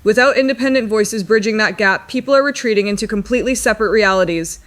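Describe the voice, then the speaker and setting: A woman playing a paramedic who phones a radio show in a war drama, giving a grave, measured eyewitness account. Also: medium pitch, no room sound, close to an everyday voice. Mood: grim, measured. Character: grim, weary female paramedic witnessing a city siege. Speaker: radio caller.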